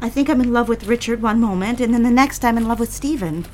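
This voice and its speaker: A woman's voice: a little high, fast talker, a little hiss or hum, natural voice. A woman playing a romantic, conflicted daughter in her twenties in an audio drama, candid and a little dramatic. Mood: torn. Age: 20s.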